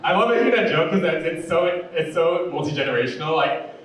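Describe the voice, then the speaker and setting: A male stand-up comedian with a deep voice and a sweet, fruity cadence, telling jokes in a campy, chatty way full of "like" and "you know". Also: animated, brisk, fairly bright tone, recorded from a distance. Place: comedy club stage. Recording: very echoey room, voice off-mic.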